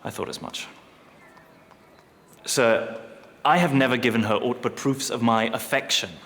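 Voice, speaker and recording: An actor playing a proud, courteous Venetian gentleman who speaks formally and with self-assurance in a period drama. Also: medium pitch, measured pace. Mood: composed, courteous. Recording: long reverberant hall.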